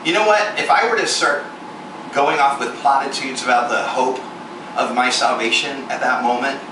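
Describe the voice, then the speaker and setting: A man in his forties giving a candid, self-mocking personal testimony sermon, with sudden jumps in pitch for emphasis. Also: moderate pace, recorded from a distance. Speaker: ministry performer speaking to a congregation. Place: large echoey venue room with air conditioning hum. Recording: echoey room recorded from afar with loud air-conditioner hiss.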